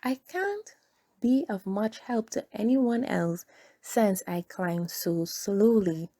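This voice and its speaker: A woman voicing a humble, gentle, self-doubting male creature from a storybook, in an earnest, wondering manner. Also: medium pitch, animated, slow. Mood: downcast.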